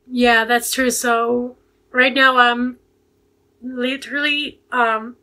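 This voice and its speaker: A woman's voice: on the high side, little pitch movement, slow, bright, forceful tone, poor audio. A nineteen-year-old woman from Ecuador, speaking English with a Spanish accent on a video call from home, hesitant and full of fillers as she searches for words. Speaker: guest. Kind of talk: casual video call interview. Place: home bedroom with bookshelves. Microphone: earphone mic on a video call.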